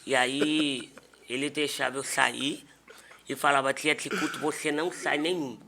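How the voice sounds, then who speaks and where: A man's voice: mid-range voice, fairly even intonation, unhurried, gravelly, background noise. A man with a Brazilian accent telling personal stories in a casual podcast conversation as a pastor. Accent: Brazilian Portuguese.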